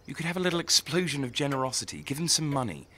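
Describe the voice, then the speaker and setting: A man acts a sensitive, troubled young son in an audio drama. His voice is forward and bright with lively intonation, earnest and pleading, over faint background noise. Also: medium pitch, rapid-fire. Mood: coaxing. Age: young adult.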